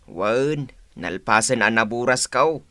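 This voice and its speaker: Slow, humble old labourer. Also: male voice, mid-range voice, lively intonation, bright, forceful tone, no echo, full character voice. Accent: Ilocano. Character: humble, plodding older male farm labourer. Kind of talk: Ilocano radio comedy drama acting.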